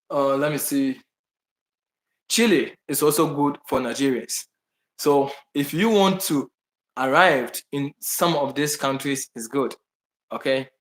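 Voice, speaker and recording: A man with a Ghanaian accent talks loosely to his viewers, stumbling into restarts and repeating points for emphasis. His voice sits at an ordinary middle pitch. The recording carries a noticeable room echo. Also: a bit bright. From Bahamas, raised partly in Ghana. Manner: rambling, many restarts and fillers, emphatic repetition. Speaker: vlogger answering viewer questions. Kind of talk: casual live chat to viewers. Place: indoors in Brazil.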